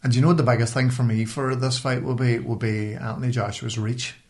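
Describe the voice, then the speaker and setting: A man's voice: medium pitch, steady tone, fast, darkish tone. A man with a Northern Irish accent, co-hosting a podcast with casual, colloquial banter and storytelling, swearing as he goes. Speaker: podcast co-host.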